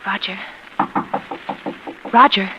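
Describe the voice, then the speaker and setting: Actress as anguished wife, noisy old radio drama. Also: female voice, medium pitch, muffled recording, lightly acted. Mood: pleading. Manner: pleading, emotionally intense, halting. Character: anguished, ailing former concert pianist wife, desperately insistent. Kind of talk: 1940s radio drama acting.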